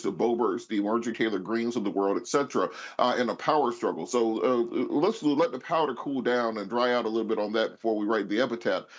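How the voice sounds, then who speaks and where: Methodical American man, slightly muffled audio. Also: medium pitch. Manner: methodical, explains point by point. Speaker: political panelist. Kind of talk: political panel commentary.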